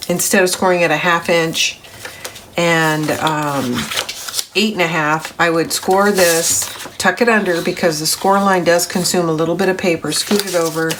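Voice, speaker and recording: A middle-aged woman, a little gravelly from grogginess, hosting a papercraft tutorial in a chatty, step-by-step way. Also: lowish voice, fairly even intonation, moderate pace, background noise. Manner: chatty, step-by-step, self-correcting. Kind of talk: crafting tutorial voice-over.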